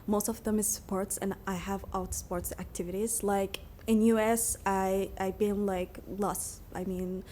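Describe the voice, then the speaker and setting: Earnest young woman, halting non-native English. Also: mid-range voice, fairly even intonation, dark tone, a little hiss or hum. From Turkey. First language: Somali. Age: young adult. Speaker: graduating student. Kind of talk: speaking at a public meeting. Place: meeting room.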